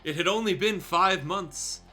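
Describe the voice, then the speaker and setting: A man's voice: sits high, unhurried, sharp tone. A man hosting a livestream, giving a theatrical comedic reading of fan fiction with irreverent, sweary asides. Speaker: guest host reading fan fiction aloud on a livestream show. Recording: music playing behind the voice. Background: music.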